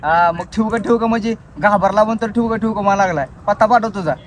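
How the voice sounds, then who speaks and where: A man's voice: high voice, fast. A young man in casual prank-call chat, insistent and repetitive, with mock politeness. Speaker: prank caller and video host.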